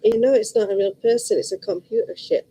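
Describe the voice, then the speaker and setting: A woman's voice: on the high side, a little fast, mellow, low-quality recording. Rambling chatty woman with a Liverpool accent. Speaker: vlogger. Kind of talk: casual chat to camera.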